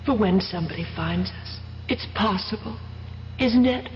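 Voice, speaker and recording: A woman's voice: mid-range voice, normal speed, natural voice. Woman acting trapped scared lady, faltering and tender, echoing cave, dull hissy old radio drama. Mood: weary hope.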